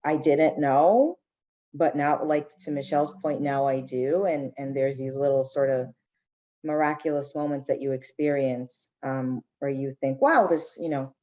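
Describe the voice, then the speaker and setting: Low-voiced woman panelist, reflective and earnest. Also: steady tone, unhurried, warm tone, dull audio. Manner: earnest, reflective, asks "right?" as she goes. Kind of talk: thoughtful panel discussion talk.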